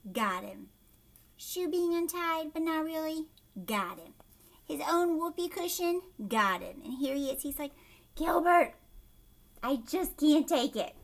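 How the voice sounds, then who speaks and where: A woman reading a children's story aloud like a teacher, expressively, pausing to explain and ask young listeners questions. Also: high-pitched, gravelly, a bit bright. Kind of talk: animated children's read-aloud with explanatory asides.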